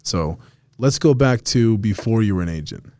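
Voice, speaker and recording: A man's voice: on the low side, animated, normal speed, dark tone, dry recording. A man hosting a casual podcast interview, friendly and hyped, calling his guest bro and dude. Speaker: podcast host.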